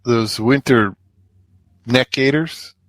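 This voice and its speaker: A man's voice: mid-range voice, slow, no room sound. Man co-host in a dry, close recording. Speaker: podcast co-host. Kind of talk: casual chat, brief supportive interjections.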